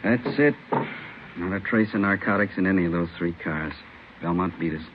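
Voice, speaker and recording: Gruff low-voiced police captain played by a man, noisy recording. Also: moderate pace, lightly acted. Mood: steady, terse. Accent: American. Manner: terse, authoritative. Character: gruff, steady male police captain in charge. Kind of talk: 1950s radio drama acting. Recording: dull, noisy old radio recording.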